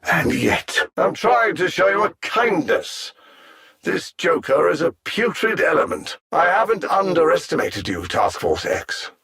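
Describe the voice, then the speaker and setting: Arrogant alien scientist villain for a game: cold, theatrical, condescending, very animated pitch, bright edge. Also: male voice, creaky, reverberant, exaggerated voice. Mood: weary, menacing. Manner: imperious, measured, condescending, precise formal diction. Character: cold, arrogant male alien scientist tyrant, grandiose supervillain.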